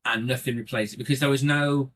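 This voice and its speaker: A man's voice: mid-range voice, steady tone, fast talker, fairly bright tone. A man from North Wales with a North Welsh accent, an indie filmmaker guest talking in an earnest, reflective way and often restarting his sentences. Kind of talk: casual podcast interview.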